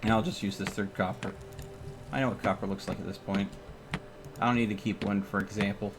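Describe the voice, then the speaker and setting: A man's voice: lowish voice, steady tone, a little fast, music in the background. A man giving casual live gameplay commentary as a streamer, thinking aloud in short, sometimes repeated phrases. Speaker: video game streamer.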